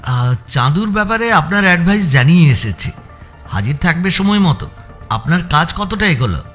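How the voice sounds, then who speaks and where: Male narrator as young sidekick, earnest, respectful, inquisitive, matter-of-fact, expressive intonation, audio story, light music underneath. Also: fairly high pitch, moderate pace, pitched above the performer's own voice. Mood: eager. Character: earnest younger male assistant, respectfully questioning his boss. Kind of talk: Bengali audio story dramatization.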